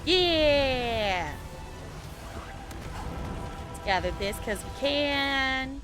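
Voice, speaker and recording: A woman's voice: sits high, lively intonation, drawn-out words, over music. A woman streamer chatting casually while she plays, laughing often and talking to the game. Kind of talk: casual chat while gaming.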